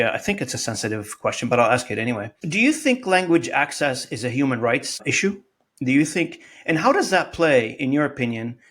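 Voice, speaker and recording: A man's voice: medium pitch, fairly bright tone. Interview host, male, Arabic native speaker; earnest, thinks aloud, restarts sentences. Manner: earnest, searching, frequent restarts. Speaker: podcast host. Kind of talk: conversational interview.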